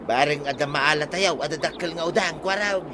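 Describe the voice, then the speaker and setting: An actor as an eager village man out fishing, with an Ilocano accent. His voice is fairly high, over clear water-like ambience, in comedy radio drama style. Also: fairly quick, noisy background, natural voice. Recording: loud water-like outdoor ambience behind voice.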